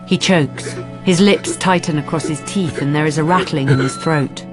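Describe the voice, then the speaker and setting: A woman narrating a crime story for a radio drama in measured, grave, scripted present tense. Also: deep voice, music in the background, lightly acted.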